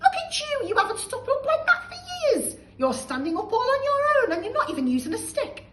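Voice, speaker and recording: A woman's voice: very high-pitched, sing-song, brisk, a bit bright, far from the mic, voice pushed up, exaggerated voice. A woman voicing a cheeky, polite young boy who talks eagerly, recorded in an echoey room. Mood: excited. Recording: echoey room, faint hiss.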